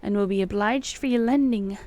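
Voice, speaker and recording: A woman voicing an uneasy, secretive old colonial occultist man, reading his letters in solemn, halting archaic phrasing. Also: medium pitch, mellow, close to an everyday voice. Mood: uneasy, insistent.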